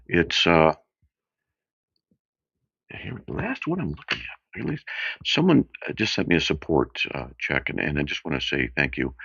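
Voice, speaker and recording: Very deep, gravelly male radio host. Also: a bit bright, no room sound. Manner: halting, meandering, conversational. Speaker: radio call-in show host. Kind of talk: casual off-the-cuff radio monologue. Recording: dull, muffled lo-fi radio audio.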